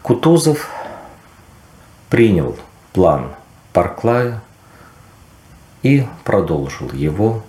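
Slow deep-voiced man in a roomy space. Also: warm tone. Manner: measured, with pauses and recited verse. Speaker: speaker giving a talk on history. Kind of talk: reflective lecture-style talk. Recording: roomy echo.

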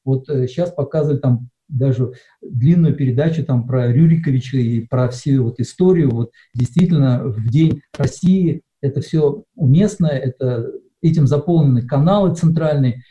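Mellow-voiced man preaching, dull recording. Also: mid-range voice, moderate pace. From Russia. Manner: conversational, with many hesitations. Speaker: pastor. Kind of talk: sermon. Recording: dull lo-fi sound.

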